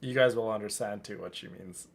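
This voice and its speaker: A man's voice: on the low side, fairly quick, creaky, a little hiss or hum. A man giving casual live commentary over a video game, talking to his viewers. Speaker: game streamer.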